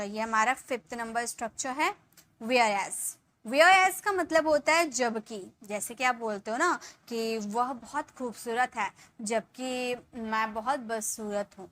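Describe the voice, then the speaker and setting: A woman in her thirties gives an English lesson, explaining each point in Hindi. Her voice sits high and sounds bright and clear. She takes her time, saying the English sentences slowly and word by word for her viewers. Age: 30s. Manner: addresses viewers as guys, checks in with "ठीक है". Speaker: English teacher.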